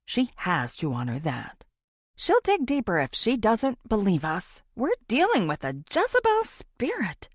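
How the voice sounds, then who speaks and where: A woman narrator puts on an anxious male cop in a thriller audiobook. His higher voice swings widely as he frets and presses his point with pious alarm. The sound is dry. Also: wildly swooping pitch, measured pace, no echo. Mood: agitated. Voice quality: higher-pitched man's voice. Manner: agitated, worried.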